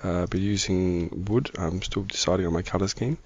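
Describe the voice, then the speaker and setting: A middle-aged man with a croaky voice, giving casual, easygoing gaming commentary as the host of a video. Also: low voice, fairly even intonation, normal speed, dull audio. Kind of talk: casual Minecraft let's-play commentary.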